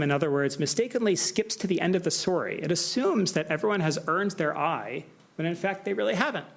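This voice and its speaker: American man, academic speaker at a symposium; animated delivery, slightly raised pitch, measured explaining tone. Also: creaky. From United States. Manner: measured, explanatory, with asides and filler "right?". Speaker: lecturer. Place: lecture hall.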